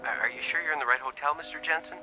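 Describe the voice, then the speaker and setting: A man's voice: fairly high pitch, fast, loud music behind the voice, natural voice, rough recording. An actor playing a courteous, bemused male hotel desk clerk in a radio drama, speaking formally.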